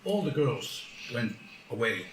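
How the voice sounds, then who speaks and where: A man with a Finnish accent speaks casually and haltingly. He sounds far from the mic in an echoey space with noticeable noise. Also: mid-range voice, very animated. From North Finland. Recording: distant, echoey, noisy room.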